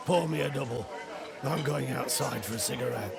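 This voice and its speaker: A man playing a brusque, rowdy tavern drinker in a video game, with a brash, gruff manner. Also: medium pitch, moderate pace. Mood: casual. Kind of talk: video game character acting. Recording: loud music and busy crowd behind.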